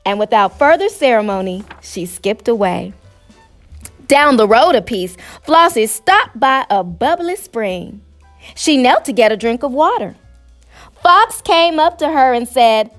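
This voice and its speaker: A woman reading a children's story aloud in expressive narration, with music behind her. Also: fairly high pitch, a little slow. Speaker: storybook reader.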